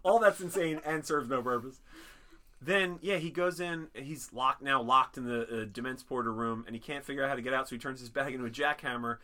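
A man in casual comedic chat, animated and swearing a lot as he recaps a cartoon plot. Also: mid-range voice, brisk.

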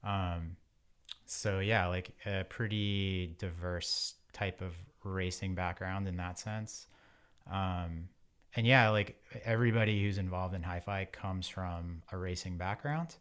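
Podcast guest, male, forties, very deep pitch, loose and rambling conversational delivery. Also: steady tone, slow pace, a bit bright, clean tone. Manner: informal, rambling, frequent "like". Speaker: podcast guest, bike wheel company owner. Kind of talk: casual interview chat.

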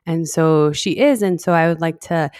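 A woman's voice: low voice, normal speed, a little mellow, smooth. A young woman from El Paso, co-hosting a podcast in earnest, friendly casual chat. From El Paso, Texas. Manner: friendly, earnest, repeats words. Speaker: podcast co-host.

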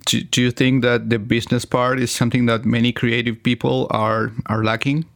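A man interviewing a guest, asking questions in a halting way and repeating words as he goes. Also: mid-range voice, level tone, creaky, fairly bright tone, dry recording, clean studio sound.

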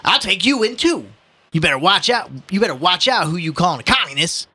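Man doing a blustering cop, raised forceful voice. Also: fairly high pitch, wildly swooping pitch, normal speed, breathy edge, voice pushed up, full character voice. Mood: threatening. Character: blustering but unsure policeman, adult man.

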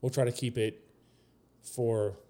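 A man hosting a casual interview, chatty and animated, quick to joke and laugh with his guest. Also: medium pitch, a little hiss or hum.

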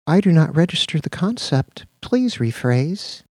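A man voicing a calm, bland office computer that answers in a mechanical monotone. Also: on the high side, a little slow, no room sound, clean studio sound, pitched above the performer's own voice. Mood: flat. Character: bland, flat-toned male office computer.

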